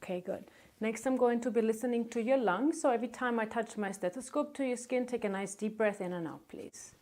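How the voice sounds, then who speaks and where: A woman conducting a medical exam, giving calm, polite step-by-step instructions with short acknowledgements. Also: fairly high pitch, brisk.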